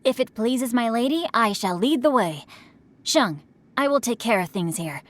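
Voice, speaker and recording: A woman's voice: a little high, expressive, normal speed, close to an everyday voice. A woman voicing a courteous, eloquent boy, a bookish young master in a fantasy video game. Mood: courtly.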